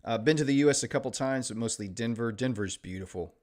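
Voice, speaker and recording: A man with a mostly Midwestern American accent and a slight Texan touch, reading viewer chat aloud and answering it in a relaxed live-stream way. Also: mid-range voice, fast talker. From Illinois, later Texas. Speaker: day trader streaming live.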